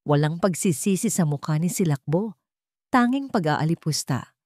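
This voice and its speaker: A woman narrating a scripted dramatic story in a solemn, deliberate manner. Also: lowish voice, expressive, a little mellow, no echo, clean studio sound.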